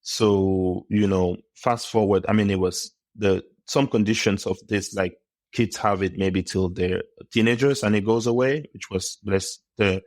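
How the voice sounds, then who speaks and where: Deep-voiced man from Cameroon. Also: fairly even intonation, darkish tone, no echo, clean studio sound. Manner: thoughtful, measured, with pauses and restarts. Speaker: podcast guest. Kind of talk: conversational interview.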